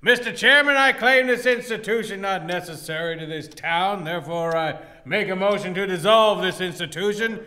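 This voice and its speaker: An actor playing a mean, pompous old banker in a radio play, scornful and forceful. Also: male voice, high-pitched, fairly even intonation, normal speed, hardly any pauses, smooth. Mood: contemptuous, pompous. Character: mean, haughty old male banker and businessman. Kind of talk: theatrical radio play acting.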